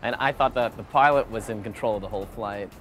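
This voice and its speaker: A man's voice: lowish voice, fast talker. Male TV host with music behind. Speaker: TV host and interviewer. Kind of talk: scripted narration and casual interviewing.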